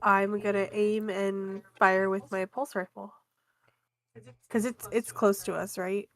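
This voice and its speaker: A woman's voice: mid-range voice, level tone, slow. A woman chatting casually as a player in a tabletop game. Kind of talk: casual chat during a game.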